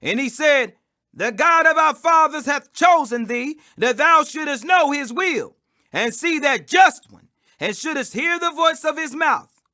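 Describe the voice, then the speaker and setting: A man delivers a dramatic scripture reading in a very high register. His tone is bright and forceful, and he declaims in a preacher-like way, drawing out the stressed phrases for emphasis. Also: lively intonation, unhurried. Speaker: scripture reader.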